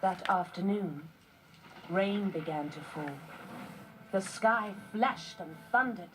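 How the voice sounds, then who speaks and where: A woman narrating a children's story from a script in measured phrases with short pauses. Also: medium pitch, a bit bright, a little hiss or hum, low-quality recording. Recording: music behind the voice. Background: music.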